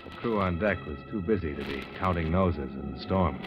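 An actor playing a wry, tough, clipped-talking investigator in an old-time radio detective drama. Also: male voice, sits low, brisk, over music, lightly acted. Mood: grim, terse. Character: wry, hard-boiled insurance investigator, male, tough and needling. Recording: dull, noisy old radio recording.

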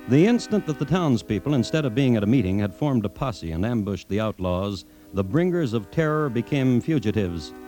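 Male actor radio western narrator, dark lowish voice, solemn n folksy, faint music. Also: lightly acted. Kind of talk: scripted radio drama narration.

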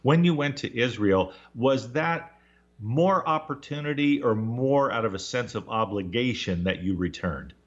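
A man's voice: medium pitch, expressive, unhurried. A man hosting a radio interview, asking questions in a thoughtful, meandering way. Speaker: radio show host. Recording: dull lo-fi radio audio.

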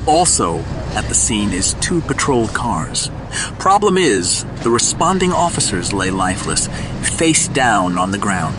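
A man's voice: on the low side, big pitch swings, a little slow, noisy background. A man narrating a scripted audio drama in a dramatic, descriptive storytelling manner. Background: music.

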